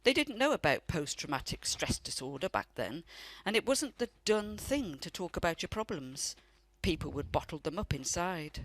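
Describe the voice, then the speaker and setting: A woman's voice: fairly low pitch, big pitch swings, moderate pace, few pauses, dry recording, low-quality recording. An actress in a radio play playing a loving, wistful elderly grandmother who tells family memories in a reflective way. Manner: reflective storytelling.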